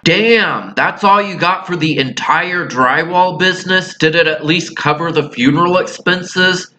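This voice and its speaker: A man's voice: on the high side, measured pace, bright, forceful tone, a little hiss or hum. A man voicing a dry, blunt grown daughter in an amateur cartoon sitcom parody, matter-of-fact in manner.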